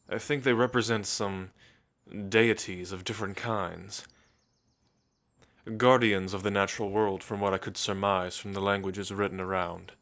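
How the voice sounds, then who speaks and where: A man playing a refined, centuries-old gentle vampire in an intimate ASMR roleplay, speaking in measured, old-fashioned phrases with thoughtful pauses. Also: lowish voice. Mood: intrigued, courtly.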